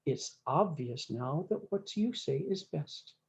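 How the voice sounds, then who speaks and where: Woman reading as meek, mellow old cowboy, muffled. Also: deep voice, animated, takes it slowly, heavily acted. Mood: humbled, repentant. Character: humbled, suffering old cowboy Job, plaintive and meek.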